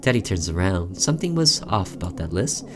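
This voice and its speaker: A man's voice: sits low, big pitch swings, a little fast, background noise. A man doing let's play commentary, reading a visual novel aloud theatrically and breaking off for offhand asides.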